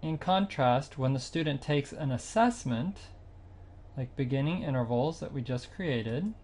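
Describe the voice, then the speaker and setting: A man narrating a screencast tutorial, explaining a music training website step by step in a plain, unhurried way with occasional fillers. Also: medium pitch, lively intonation, a little mellow, a little hiss or hum. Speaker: site instructor demonstrating software.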